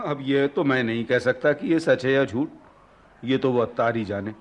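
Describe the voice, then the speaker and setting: An actor playing a helpful, inquisitive fellow traveller on a ship in a radio drama, conversational and slightly hesitant. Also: male voice, medium pitch, moderate pace, muffled recording, lightly acted. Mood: curious, helpful. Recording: slightly noisy radio play recording.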